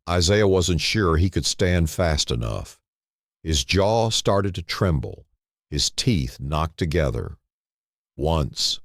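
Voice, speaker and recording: A man narrating a scripted dramatic story in short, clipped phrases with dramatic pauses. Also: extremely low pitch, no echo, clean studio sound.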